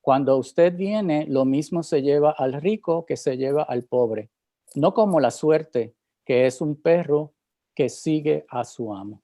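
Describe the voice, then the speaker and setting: A man's voice: on the high side, normal speed, dark tone, natural voice. A man playing a blunt poor charcoal burner. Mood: welcoming.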